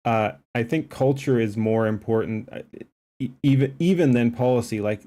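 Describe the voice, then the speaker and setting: A man's voice: mid-range voice, moderate pace, warm tone. A man commenting live on a stream, talking emphatically in a rambling way, with lots of fillers and restarts. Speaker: streamer reacting to a debate clip.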